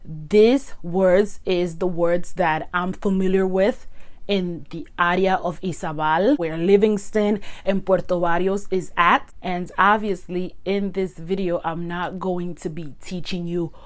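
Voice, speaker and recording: A young woman from Guatemala with a Guatemalan accent, casually teaching slang to the camera in a chatty, animated way. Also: lowish voice, measured pace. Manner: chatty and animated, gesturing with her hands. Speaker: vlogger. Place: small bedroom indoors.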